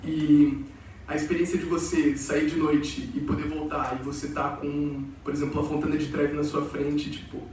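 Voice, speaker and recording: A man's voice: fairly high pitch, monotone, normal speed, darkish tone, slight background noise. A young man with a Brazilian accent gives a chatty, rambling talk to students, full of fillers. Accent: Brazilian Portuguese, with some Portugal slang. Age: young adult. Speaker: exchange student presenter. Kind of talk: informal presentation to students. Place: large auditorium. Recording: echoey hall from far off.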